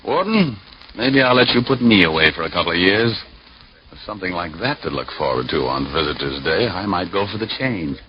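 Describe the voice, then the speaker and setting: A man's voice: deep voice, very animated, fairly quick. An American man playing a wisecracking, laconic private eye in an old-time radio detective drama. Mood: wry. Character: wry, restless, flirtatious male private eye. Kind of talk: 1940s-50s radio detective acting and narration. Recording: muffled, noisy old radio recording.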